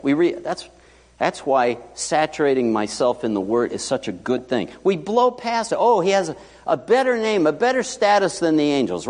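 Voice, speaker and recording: A male pastor preaching a sermon in a church, animated and joking, with sudden loud and hushed asides. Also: fairly high pitch. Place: church sanctuary. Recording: long hall reverb.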